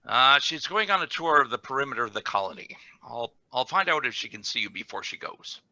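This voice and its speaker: An actor playing an officious, guardedly polite colony official in a radio play reading. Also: male voice, medium pitch, lively intonation, brisk, pushed and bright, no room sound, lo-fi sound. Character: smooth, officious, discreetly cautioning male colony official.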